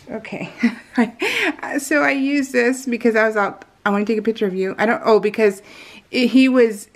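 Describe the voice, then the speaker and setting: An American woman chatting casually as she narrates a craft project, rambling and giggly, with slightly unusual soft R sounds. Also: a little high, gravelly. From California, US. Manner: giggly, rambling, self-interrupting. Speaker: craft vlogger. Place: indoors at home, at a craft table.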